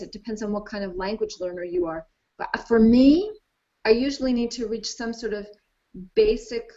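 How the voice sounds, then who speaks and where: Enthusiastic woman explaining languages. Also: medium pitch. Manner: enthusiastic, thinks aloud with restarts. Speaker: language expert guest. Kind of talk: casual discussion. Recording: dull lo-fi live stream audio.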